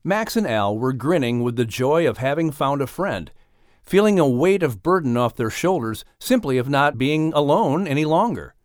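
A man narrating an audiobook story in an expressive, scripted storytelling style. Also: medium pitch, normal speed, no echo, clean studio sound.

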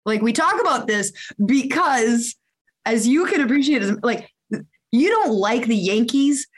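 A woman's voice: on the high side, expressive, measured pace. A woman hosting a podcast in a casual chat, rambling and interrupting herself with laughter. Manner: rambling, self-interrupting, giggly. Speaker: podcast host.

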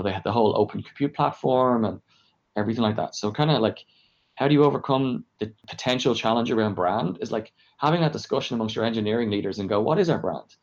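Low-voiced man, dull recording, explaining emphatically. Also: a little mellow. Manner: emphatic, filler-heavy, self-questioning explanations with frequent "like". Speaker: engineering leader being interviewed. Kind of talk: conversational interview answers about work experience.

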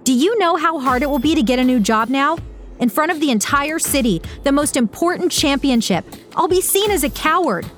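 A woman voicing an anxious young woman torn between duty and ambition, with a high, strained, pleading voice. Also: expressive, fairly quick, faint music behind. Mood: distressed.

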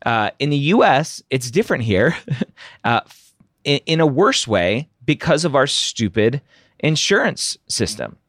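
A man's voice: medium pitch, sing-song, unhurried, fairly bright tone, no room sound, clean studio sound. A middle-aged man hosting a podcast, giving candid, opinionated advice and tagging points with a rhetorical "right?". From United States. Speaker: podcast host answering listener questions. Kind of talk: casual advice Q&A.

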